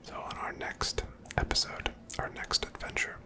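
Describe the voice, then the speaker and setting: A person whispering softly and breathily, giving gentle, casual ASMR commentary while playing a video game. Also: moderate pace. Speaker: host of a gameplay series.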